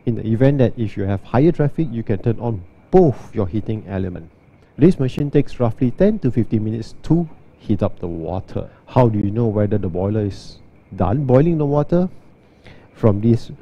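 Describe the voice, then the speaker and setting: A man, giving a steady, step-by-step walkthrough and demo of an espresso machine. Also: medium pitch, muffled recording. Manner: steady, step-by-step instructional delivery. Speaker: barista demonstrating an espresso machine.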